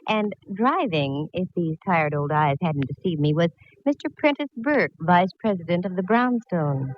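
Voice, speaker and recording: An actress playing a wry, quick-witted female private eye in a 1940s radio detective drama, breezy and wisecracking. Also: on the low side, animated, lightly acted. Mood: wry narration. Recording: noisy, dull vintage radio recording.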